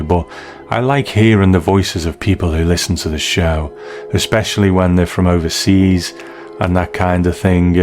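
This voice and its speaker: A man's voice: low voice, darkish tone. A middle-aged British man talking in a chatty, conversational way as a podcast host, casually going through listener feedback. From United Kingdom.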